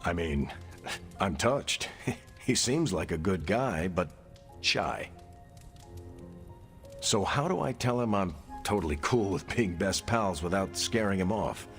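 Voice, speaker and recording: Low-voiced easygoing game hero, casual, wry, sincere, very lively melody. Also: male voice, slow, pauses often, soft background music, full character voice. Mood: confiding. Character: easygoing, friendly male superhero, sincere and jokey. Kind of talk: video game cutscene acting.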